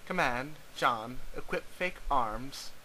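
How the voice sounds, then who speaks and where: Man as a terse game commander, clipped imperatives, bright forceful tone, web comic reading, faint noise. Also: mid-range voice, expressive, takes it slowly, poor audio. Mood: curt, commanding. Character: terse commanding voice issuing text-game orders.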